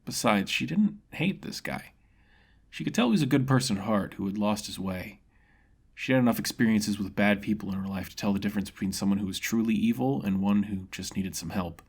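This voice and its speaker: Low-pitched man narrating a fantasy story. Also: brisk, slightly rough.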